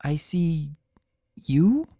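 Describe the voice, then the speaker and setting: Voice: medium pitch, sing-song, very slow, dark tone, slightly rough, dry recording, studio quality, pitched above the performer's own voice, heavily acted. A performer voicing a stubborn, skeptical young mare pony in a fan fiction reading, insistent and protesting. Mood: grudging, puzzled.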